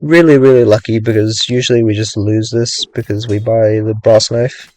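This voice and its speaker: A young man giving casual, rambling commentary on his own gameplay, thinking aloud with lots of "like"s and a voice gone a little raspy from hours of talking. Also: fairly low pitch, fairly even intonation, moderate pace, darkish tone, dry recording. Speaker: gaming streamer.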